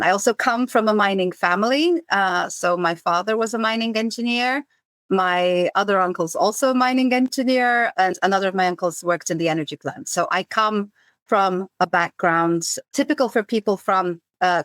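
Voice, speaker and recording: A woman's voice: lowish voice, fairly bright tone, no room sound. Woman, forties, podcast guest, reflective and searching, dry recording. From Zambia. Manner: thoughtful, many fillers and restarts. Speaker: podcast guest, campaign coordinator. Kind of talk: conversational interview answers.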